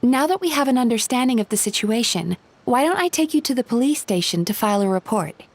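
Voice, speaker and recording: High lively anime game companion girl, earnest, expressive, takes her time. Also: fast, slight background noise. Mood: reassuring.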